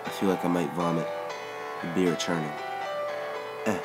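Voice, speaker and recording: A man reading a first-person story aloud in a measured, even narration. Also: low voice. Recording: music bed underneath. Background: background music.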